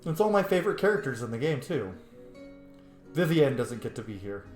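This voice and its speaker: A man's voice: mid-range voice, big pitch swings, a little fast. A young man giving casual, enthusiastic commentary on a game he is playing, chatty and exuberant. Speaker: gaming streamer. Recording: echoey room, faint game music. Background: faint game music.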